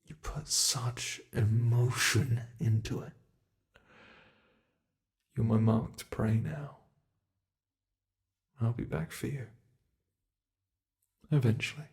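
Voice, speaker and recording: Casting: teasing monster hunter played by a man, medium-pitched, gravelly and slow, close ASMR roleplay delivery, menacing yet playful. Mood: gloating menace. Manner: slow, menacing, playfully sinister, savoring pauses. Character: teasing predatory male monster who hunts humans. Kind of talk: intimate ASMR roleplay monologue.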